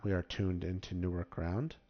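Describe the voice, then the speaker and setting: A man's voice: low-pitched, steady tone, moderate pace. A man giving casual, step-by-step commentary as host of a game tutorial. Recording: slightly dull, muffled microphone.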